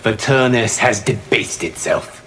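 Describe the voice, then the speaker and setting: A man's voice: medium pitch, unhurried, a bit bright, lightly acted. A man playing a wise, reproving guardian god, earnest and admonishing, in a video game. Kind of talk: video game fight intro banter. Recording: game audio with background noise.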